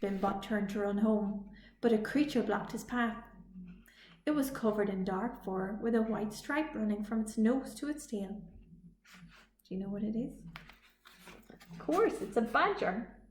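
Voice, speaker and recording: Slow-reading woman in an echoey room. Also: medium pitch, darkish tone. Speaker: librarian reading a children's story. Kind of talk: expressive storytime narration. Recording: echoey room, faint noise.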